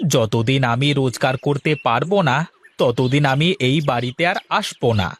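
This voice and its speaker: An actor playing a hapless, aggrieved blind village husband in a cartoon, with exclamatory, theatrical grumbling. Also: male voice, medium pitch, normal speed, close to an everyday voice. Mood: aggrieved, resolute. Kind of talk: Bengali comic cartoon dialogue.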